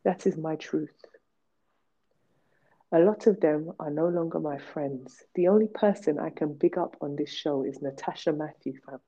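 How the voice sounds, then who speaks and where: A low-voiced woman speaks with blunt, emphatic force, repeating words to drive her point home. Her tone stays candid and personal, and the recording sounds dull and lo-fi. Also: moderate pace, dark tone, breathy edge. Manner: emphatic, blunt, repetitive for stress. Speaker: podcast host. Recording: dull lo-fi podcast audio.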